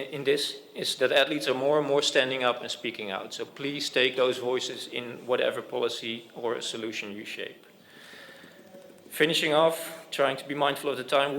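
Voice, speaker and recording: A man's voice: mid-range voice, vocal fry, fairly bright tone, slight background noise. A man giving formal closing remarks as a panel speaker at a conference, earnest, with some fillers and restarts.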